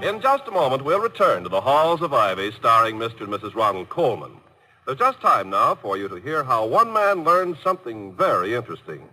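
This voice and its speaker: A man's voice: mid-range voice, very animated, brisk, pushed and bright, big-room sound, soft background music, low-quality recording. A male announcer reading scripted links in 1950s radio style on a vintage broadcast.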